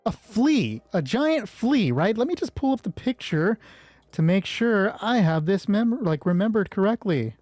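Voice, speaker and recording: Mellow, husky man thinking aloud. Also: high-pitched, very animated, a little slow, dry recording. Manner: deliberating, asks himself questions and answers them. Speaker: solo roleplay game master. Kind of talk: narrating a solo tabletop game, thinking aloud.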